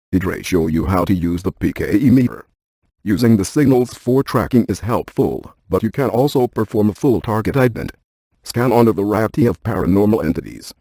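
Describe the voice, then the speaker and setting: Video game paranormal scientist: cerebral male, deadpan and exact, very mellow dark tone, close dry sound. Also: on the low side, breathy edge, no room sound. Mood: methodical, instructive. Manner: deadpan, precise, technical. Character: cerebral, methodical paranormal scientist, adult man. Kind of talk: scripted video game dialogue.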